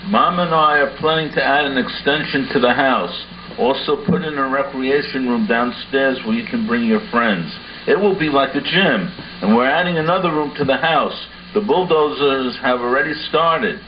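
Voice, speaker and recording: A man's voice: medium pitch, moderate pace, natural voice. Man as a proud dad puppet, echoey room. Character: proud, kindly suburban father puppet. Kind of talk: amateur puppet show acting. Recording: muffled, echoey room with faint noise.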